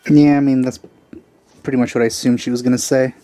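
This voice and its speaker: A man giving casual let's-play commentary, musing aloud in a halting, informal way. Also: mid-range voice, flat delivery, dark tone, faint music behind. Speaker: gaming video host.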